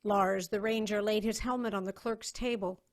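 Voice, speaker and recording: Measured woman narrator with mid-range voice. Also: mid-range voice, fairly even intonation. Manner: measured, storytelling. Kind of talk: scripted narration of a dramatic story reading. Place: online video call reading.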